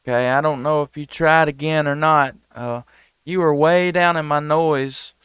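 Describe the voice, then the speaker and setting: A man's voice: fairly high pitch, steady tone, normal speed, a bit bright. A man, hosting an amateur radio live stream with casual, folksy, friendly chat and station calls. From United States.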